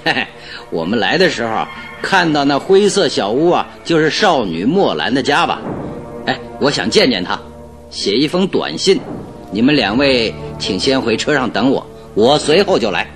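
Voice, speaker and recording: Radio drama detective male actor: theatrical, self-assured, teasing, very animated delivery over music. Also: medium pitch, moderate pace, lightly acted. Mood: cheerful. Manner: exclaiming, chuckling, theatrical. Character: triumphant, confident male detective, hearty and teasing. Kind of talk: old-time Chinese radio drama acting.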